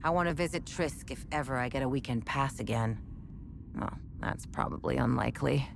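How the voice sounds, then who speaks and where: An actress playing a chatty, wry military research scientist in a video game, explaining things in a measured, conversational way. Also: female voice, very deep voice, vocal fry, noisy background. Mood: wistful. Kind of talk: scripted game dialogue, conversational exposition.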